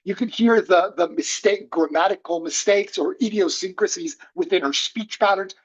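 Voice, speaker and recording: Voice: mid-range voice, moderate pace, breathy edge, dull audio. A thoughtful academic presenter speaking hesitantly with many fillers during an online panel over a video call.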